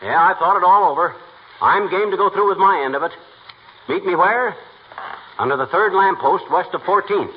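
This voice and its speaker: A folksy, boastful small-town husband played by a man in a 1940s radio comedy. His voice sits on the high side and he blusters in slangy wisecracks. The recording is muffled, with clear noise behind him. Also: normal speed, close to an everyday voice. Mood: self-important.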